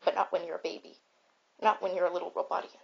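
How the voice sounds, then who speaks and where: Husky, declamatory robot, acted by a woman. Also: fairly low pitch, little pitch movement. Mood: solemn storytelling. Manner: deliberate, declamatory, repetitive. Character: caring but blunt, self-important comforting robot. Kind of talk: comfort roleplay monologue with storytelling. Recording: slightly dull, raw home recording.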